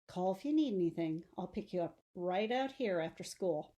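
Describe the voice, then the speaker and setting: A woman voicing a caring, gently fussing mother of a young teen in a storybook reading. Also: fairly low pitch, expressive, normal speed, lightly acted. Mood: tender. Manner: tender, solicitous.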